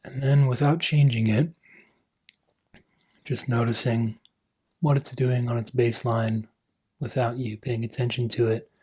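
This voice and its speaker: Husky man softly guiding a meditation. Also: medium pitch, steady tone. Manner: gentle, unhurried phrases with pauses, trailing off softly. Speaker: meditation coach. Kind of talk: guided meditation.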